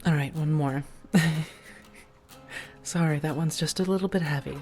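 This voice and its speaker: A low, hushed female vampire, acted by a woman, worldly, wry and protective. She speaks softly and haltingly in an intimate ASMR audio drama, sometimes nearly whispering, with music playing underneath. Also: steady tone. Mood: gentle, amused. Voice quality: soft, breathy whisper at times. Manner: measured, halting, pausing between phrases. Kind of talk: soft-spoken ASMR roleplay.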